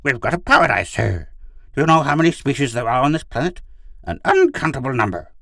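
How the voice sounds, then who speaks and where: A man's voice: medium pitch, wildly swooping pitch, fairly quick, vocal fry, a bit bright, no echo, studio quality, pitched above the performer's own voice, heavily acted. A man voicing a complacent, carefree alien shapeshifter in dog form for an audiobook story. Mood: eager, persuasive. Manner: carefree, stubborn, earnest. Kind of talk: audiobook character voice.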